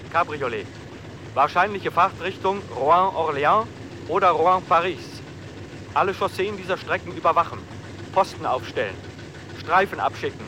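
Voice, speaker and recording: An actor playing a stern police dispatcher in an old radio crime play, reading a bulletin in a clipped, official, commanding manner. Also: male voice, sits high, bright, forceful tone, a little hiss or hum.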